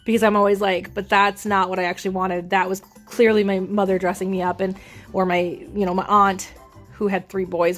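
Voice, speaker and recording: Young woman vlogger, deep voice, casual and rambling, faint music behind. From Minnesota, USA. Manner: rambling, chatty, many ums and self-corrections. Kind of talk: casual chat.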